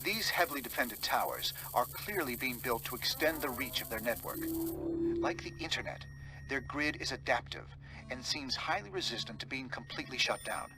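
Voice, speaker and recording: A man's voice: mid-range voice, expressive, moderate pace, sharp tone, soft background music, low-quality recording. An actor playing an analytical male scientist in a game, speaking precisely and matter-of-factly. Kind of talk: scripted game briefing.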